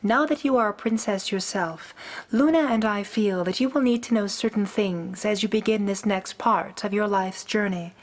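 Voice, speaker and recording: An ancient pony princess, acted by a woman, regal and solemn. She speaks in measured, formal phrases in a middle register, as in a fan-made radio play. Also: medium pitch, lightly acted. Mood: gracious, wise. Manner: formal, measured, grave diction.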